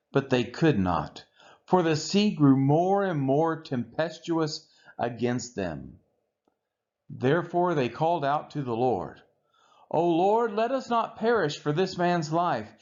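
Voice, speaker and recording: A man reading the Bible aloud in a solemn style, with measured, expressive and deliberate phrasing. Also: a little high. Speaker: scripture reader. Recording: dull, muffled lo-fi recording.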